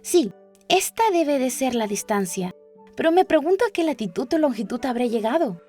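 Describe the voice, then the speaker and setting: A woman's voice: high voice, expressive, normal speed, dark tone, soft background music, voice pushed up. A woman voicing a curious, dreamy young girl who chatters and muses to herself in a storybook. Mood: musing. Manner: musing aloud, questioning, wordy. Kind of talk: storybook character voice.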